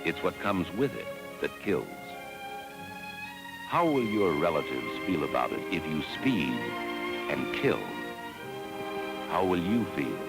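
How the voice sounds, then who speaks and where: A deep-voiced man with a gravelly creak narrates a vintage educational film. His delivery is dramatic and admonishing, over music on an old soundtrack. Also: sing-song, takes it slowly, long pauses.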